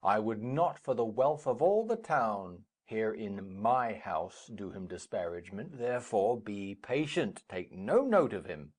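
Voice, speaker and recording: An actor playing a jovial, blustering old lord in theatrical Shakespearean style, booming and declaiming. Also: male voice, very animated, unhurried, hardly any pauses, darkish tone. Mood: placating, firm.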